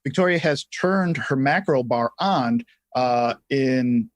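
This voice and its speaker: A man co-hosting a livestream, giving casual tutorial explanations in halting phrases with some repeats. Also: medium pitch, no echo. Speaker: livestream co-host.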